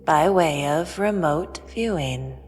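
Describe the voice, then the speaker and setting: An actress playing an enigmatic, all-knowing otherworldly visitor in a sci-fi audio drama, speaking in a calm, measured, aloof way. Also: female voice, low voice, slight background noise. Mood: serene. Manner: measured, matter-of-fact. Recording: long reverb, music behind. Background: music.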